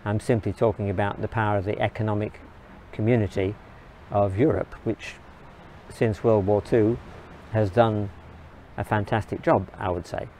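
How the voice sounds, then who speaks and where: A man from England, giving an earnest, measured opinion monologue in short, halting phrases. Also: lowish voice, fairly even intonation, a little mellow, a little hiss or hum, poor audio. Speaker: video blogger.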